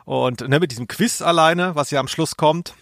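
A man's voice: on the high side, normal speed, creaky, a bit bright, dry recording. A man bantering casually as a podcast co-host in a dry, teasing way.

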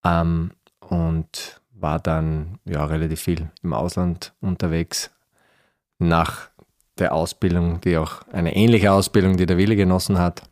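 A man's voice: low voice, flat delivery, unhurried, a little mellow. A man with an Austrian accent from Styria, speaking casually and thoughtfully as an interview guest, feeling his way through his phrases. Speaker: podcast guest, winery managing director.

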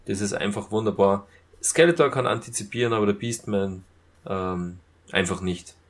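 A man casually reviewing as a podcast co-host, retelling scenes in a halting way. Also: lowish voice. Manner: halting retelling with acted-out quotes.

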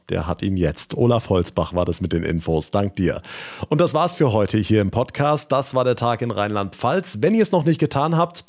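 A man's voice: fairly low pitch, a little mellow. A man hosting a regional news podcast, presenting stories and chatting with reporters in a friendly, conversational way.

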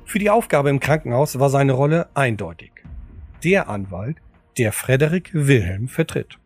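A man's voice: mid-range voice, animated, normal speed. Man narrating over music. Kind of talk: scripted narration for an audio drama.

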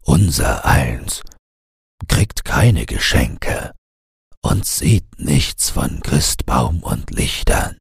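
Guy doing a big grumpy pump in a fairy tale, super slow and low, sulky and envious. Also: expressive, vocal fry, breathy edge, no room sound, clean studio sound, exaggerated voice. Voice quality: grumbling, low. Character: fat, grumbling, envious old garden water pump. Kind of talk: bedtime storybook character voice.

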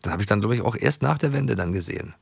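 A middle-aged man from East Germany with a lowish voice full of fry. He is recorded dry and close, chatting as a co-host in a colloquial, enthusiastic way. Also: animated, rapid-fire, dry recording. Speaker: podcast co-host. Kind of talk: casual chat.

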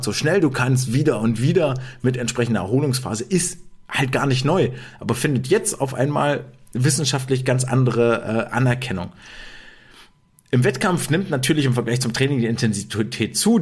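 A man hosting a swimming podcast in casual talk, chatty with many fillers and restarts. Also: medium pitch. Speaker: podcast host.

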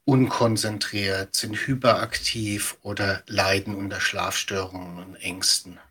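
A man's voice: deep voice, flat delivery, a little slow, pushed and bright, slightly rough. A middle-aged man giving explanatory interview answers as an expert guest. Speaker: media educator, podcast guest.

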